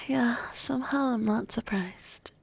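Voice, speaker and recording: A woman voicing a young harpy classmate in love in an ASMR roleplay, soft and often whispering, hesitant and teasing. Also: fairly high pitch, takes it slowly, vocal fry, husky, heavily acted, poor audio. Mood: tender, teasing.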